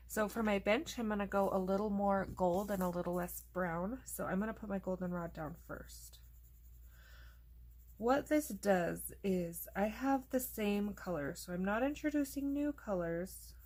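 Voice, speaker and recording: A woman host casually narrating a coloring tutorial, chatty and relaxed, thinking aloud as she works, and losing her voice. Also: mid-range voice, measured pace.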